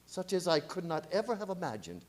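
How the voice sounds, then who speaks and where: A man's voice: sits high, big pitch swings, brisk. A man playing a commanding vampire-hunting professor in a radio play, declaiming with dramatic intensity. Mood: solemn. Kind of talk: radio play horror acting.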